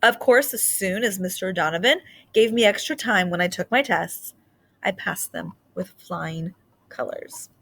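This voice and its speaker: A woman's voice: medium pitch, expressive, measured pace, gravelly, a bit bright. Female storybook reader with a mid-range voice for narrating a children's story aloud.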